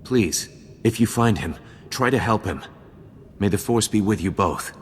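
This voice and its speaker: Weary Jedi guardian, reverberant. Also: male voice, fairly low pitch, lively intonation, unhurried, background noise. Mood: earnest pleading. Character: weary, grieving Jedi guardian defending survivors. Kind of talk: video game cutscene acting. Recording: long hall reverb, faint game music behind.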